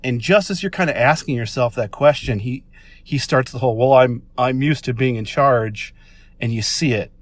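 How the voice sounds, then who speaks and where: Casting: American man, mid-pitched, informal and rambling, eager fan leaving a voice message, dry close sound. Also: normal speed, dry recording. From Minnesota, United States. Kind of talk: casual voice message.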